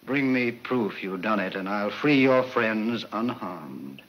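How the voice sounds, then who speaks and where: An actor playing a calculating, vengeful professor of crime, measured and politely menacing, in 1940s film serial style on an old soundtrack. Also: male voice, on the low side, expressive, a bit bright, dull audio, a little hiss or hum. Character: calculating male criminal mastermind professor, bent on revenge.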